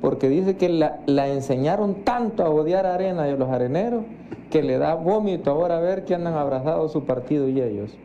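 A man's voice: fairly high pitch, brisk. A middle-aged Salvadoran man hosting a live political phone-in, chipping in with casual comments. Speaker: TV host taking a call. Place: TV studio, indoors. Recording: dull muffled sound, faint noise.

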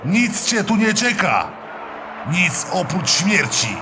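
A man playing a stern, honourable knight general in a fantasy audio drama, speaking with grave, forceful drama. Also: high voice, very animated, slow pace, music in the background, crowd noise, full character voice. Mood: grim resolve.

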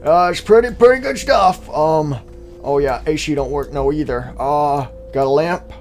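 A man's voice: on the high side, moderate pace, darkish tone, faint music behind, natural voice. A man putting on an old hotel owner who drawls and mumbles his way through a rambling tour, in a playful game roleplay. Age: older.

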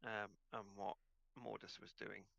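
A man's voice: fairly low pitch, little pitch movement, measured pace, vocal fry, pushed and bright, no room sound, dull audio. A man casually running an online tabletop game as its game master.

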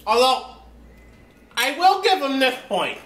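A man's voice: extremely high pitch, big pitch swings, slow, fairly bright tone. An American man casually rambling through commentary on his strategy game, chatty and wandering off topic. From United States. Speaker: let's play host. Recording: echoey room.

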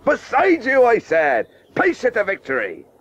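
A man's voice: extremely high pitch, huge pitch swings, unhurried, a bit bright, slightly rough, dry recording, full character voice. An actor playing a blustering, domineering middle-aged cricketer father in a radio play, with clipped, emphatic phrases. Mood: jovial boasting. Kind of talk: 1980s radio drama acting. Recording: muffled old radio broadcast recording.

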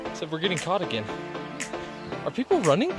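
A man filming a hike on his phone outdoors on a mountain trail, making quiet offhand remarks. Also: a little high, wildly swooping pitch, fast talker, loud music behind the voice. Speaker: hiking vlogger filming for his wife. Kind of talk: casual commentary while hiking. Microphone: handheld phone.

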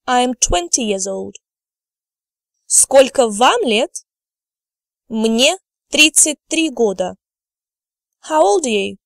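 A woman gives a scripted language lesson in a slightly high voice, at a very unhurried pace. She enunciates with care and sounds instructive and encouraging. The recording is close and dry, with very little room sound. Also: lively intonation, gravelly, no room sound. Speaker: language teacher.

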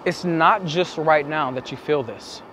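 A young man with a slightly high voice talks to camera as a coach. He phrases his points deliberately and emphatically, pausing as he goes. He is outdoors on a city street, with some light noise around him. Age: young adult. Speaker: dating coach. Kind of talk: explaining to camera. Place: outside on a city street by a large building.

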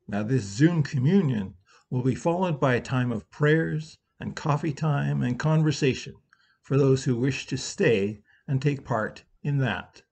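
A middle-aged man opens worship at a slow, measured pace, his voice slightly husky. He speaks close to a webcam in a room at home. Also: medium pitch, darkish tone. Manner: measured, unhurried. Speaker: worship leader. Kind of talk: slow liturgical welcome. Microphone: webcam at close range.

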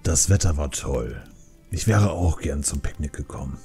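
A man voicing an easygoing older panda brewmaster uncle in a fantasy audiobook, with a deep, husky rumble and an unhurried, genial manner. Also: mellow, faint music behind, exaggerated voice.

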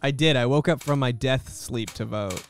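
A man's voice: medium pitch, moderate pace. A man in his thirties chatting casually and jokingly with live viewers as he streams a video game from a room at home. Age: 30s. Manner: wry, joking. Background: faint guitar music.

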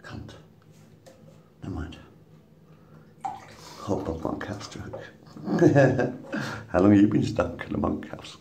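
Gravelly man in his fifties, lively rambling talk, echoey room, a bit off-mic. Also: low voice, slow, long pauses, distant mic. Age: 50s. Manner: rambling, swearing, laughing at his own jokes. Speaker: vlogger. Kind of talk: rambling casual monologue to camera. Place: small room indoors. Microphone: phone camera at arm's length. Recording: roomy echo, from the mic, faint background noise.

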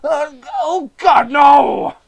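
A panicked male inmate, acted out by a man reading aloud. The voice is pitched high and breaks into frantic shouts. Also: drawn-out words, highs cut off, a crowd in the background, full character voice. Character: whimpering, frantic male asylum inmate being roughed up.

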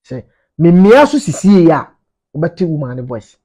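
Ghanaian man, thirties, informal commentator. High, husky voice, emphatic and repetitive delivery. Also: sing-song, measured pace, warm tone. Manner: emphatic, repeats phrases for emphasis. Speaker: media commentator. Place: small indoor office.

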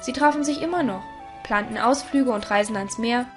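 A woman's voice: fairly high pitch, moderate pace. A woman narrator reading a scripted audio story. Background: music.

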